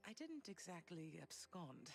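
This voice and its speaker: An actress playing a gentle, wistful exiled goddess mother in a video game, speaking in a formal, measured, reserved way. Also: fairly low pitch, sing-song, vocal fry, full character voice. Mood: resigned, reflective. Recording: music under the voice.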